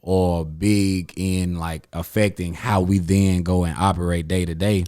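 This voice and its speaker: A man's voice: low voice, flat delivery, a little slow, warm tone. A man, rambling loosely through a casual chat with friends as the host. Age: 30s. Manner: rambling, loose, uses slang and fillers. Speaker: podcast host.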